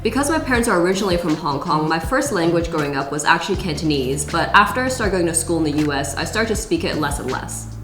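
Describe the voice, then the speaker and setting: Young woman, lowish voice, fast pace, roomy echo, music clearly behind. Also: lively intonation. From California. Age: young adult. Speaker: vlog co-host, former lawyer. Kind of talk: casual Q&A vlog talk. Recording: echoey room with background music.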